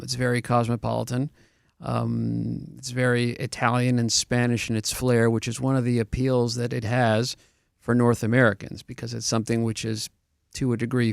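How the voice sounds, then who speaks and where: Argentine man with a flat, gravelly voice. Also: mid-range voice, dry recording, studio quality. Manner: measured, explanatory, with many ums and uhs. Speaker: guest, real estate broker. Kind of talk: radio interview answers.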